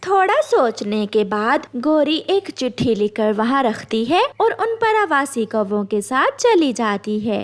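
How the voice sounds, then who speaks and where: Slow, high-pitched woman storyteller. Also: sing-song. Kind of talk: scripted narration of a children's cartoon tale.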